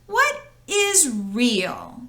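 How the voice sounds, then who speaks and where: A woman's voice: fairly high pitch, huge pitch swings, extremely slow, fairly bright tone, exaggerated voice. A woman voicing a small, timid, curious toy rabbit in a storybook, asking with wonder. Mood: curious, hesitant. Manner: wondering, questioning storybook speech.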